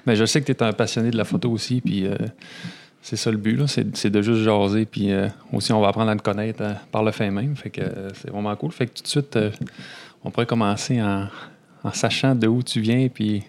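A man in his thirties with a Saguenay Quebec French accent, hosting a podcast in a relaxed, halting chat full of fillers. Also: fairly low pitch. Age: 30s. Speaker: podcast host. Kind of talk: casual interview chat.

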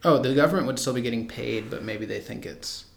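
A man's voice: medium pitch, a little fast, gravelly, a little hiss or hum. A young man co-hosting a podcast in casual chat, laid-back and matter-of-fact with dry quips. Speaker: podcast co-host. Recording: roomy echo.

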